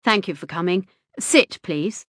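A woman's voice: on the low side, a little slow, a bit bright, no echo, studio quality. A woman reading the lines of a composed, precise middle-aged male hospital consultant in an audiobook, with a clipped, formal manner.

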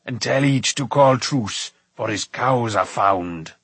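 A massive, hearty warrior king from a storybook. He blusters and bellows in a husky voice. His words come slow and weighty. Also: mid-range voice, fairly bright tone, dry recording, heavily acted. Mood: boisterous. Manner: blustering, drawn-out delivery. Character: huge, booming, hearty old warrior king.

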